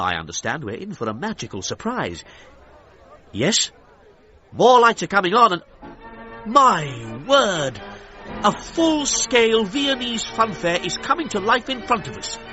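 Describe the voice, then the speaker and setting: A crisp, forceful British man in the style of a 1930s outside broadcast. His delivery is formal yet wonderstruck, with a wide swing of pitch and music playing behind him. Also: lo-fi sound. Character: enthralled 1930s British male radio commentator.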